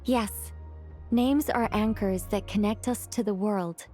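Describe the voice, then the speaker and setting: A woman playing a wise, calm companion who speaks thoughtfully and gently guides others in a game cutscene. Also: medium pitch, slow pace, faint music behind, close to an everyday voice. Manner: thoughtful, reflective, gently guiding.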